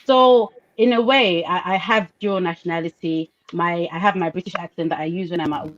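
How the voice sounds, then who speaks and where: A woman's voice: fairly low pitch, steady tone, normal speed, slight background noise. A Nigerian woman speaking with a Nigerian accent in a casual group discussion, making her points passionately and with emphatic rhetorical questions. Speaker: panelist. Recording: dull lo-fi sound.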